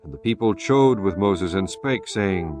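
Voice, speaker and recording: A man narrating an audio Bible in a solemn, formal, measured scripted style. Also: low voice, animated, over music.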